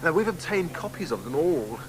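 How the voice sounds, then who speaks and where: An actor playing a polite, placating publisher pitching a book deal in a radio drama. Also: male voice, very animated, brisk, background noise. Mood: persuasive.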